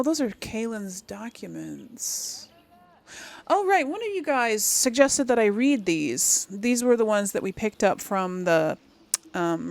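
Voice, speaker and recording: A woman with a noticeably creaky voice at a middling pitch talks through a video game for her audience. She is chatty and casual, thinking aloud as she goes and reading aloud for those watching. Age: 30s or older. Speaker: game streamer, role-play series host.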